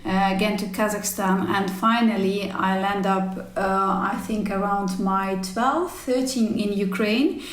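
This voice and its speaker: In a roomy, echoing space, a woman. Her pitch is medium, and her comes out in halting but emphatic stretches, like an interview guest recounting a career. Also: fairly even intonation. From former Soviet Union. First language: not English. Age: 40s. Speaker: podcast guest, cycling team executive. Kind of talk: conversational interview storytelling. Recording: roomy, echoey sound.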